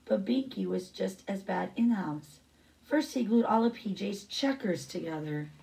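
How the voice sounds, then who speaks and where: Middle-aged female teacher for storybook read-aloud, low creaky voice, picked up from a little way off. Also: expressive, normal speed, warm tone, recorded from a distance, rough recording. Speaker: teacher reading a picture book aloud.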